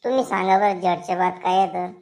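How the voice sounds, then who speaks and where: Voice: medium pitch, fairly quick, vocal fry, a little mellow, natural voice. A performer playing a cheeky, back-talking village schoolboy in a comedy cartoon, with a rural Maharashtrian accent. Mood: boastful, cheeky. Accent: rural Maharashtrian Marathi. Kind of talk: comedy cartoon dialogue in colloquial Marathi.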